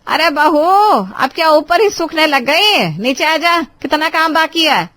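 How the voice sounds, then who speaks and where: A woman's voice: mid-range voice, animated, a little fast, fairly bright tone, no echo, lightly acted. A woman playing a bossy, scolding middle-aged mother-in-law in a dramatized family story. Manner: scolding, overbearing, theatrical.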